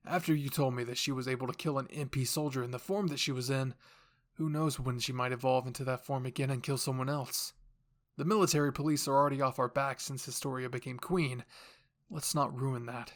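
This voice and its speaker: A man playing an authoritative, cautious military commander in an anime fan story, speaking in a serious, measured way. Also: medium pitch, steady tone, close to an everyday voice. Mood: stern, cautious.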